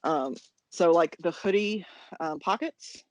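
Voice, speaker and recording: A woman's voice: on the low side, slow, no echo, rough recording. An American woman chatting casually as co-host of a knitting podcast.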